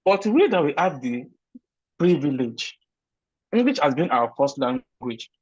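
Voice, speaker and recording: A man's voice: fairly high pitch, big pitch swings, a little slow, breathy edge, no echo. A man from Nigeria with a Nigerian accent, answering interview questions in an earnest, emphatic way with frequent restarts. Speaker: interview guest, recent immigrant. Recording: dull, lo-fi sound.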